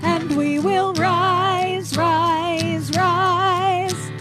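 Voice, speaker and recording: A woman sings in a very high voice, stretching each line out slowly. A loud guitar plays under her. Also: steady tone. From California. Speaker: singer. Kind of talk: singing a slow song with guitar. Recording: singing over loud guitar music.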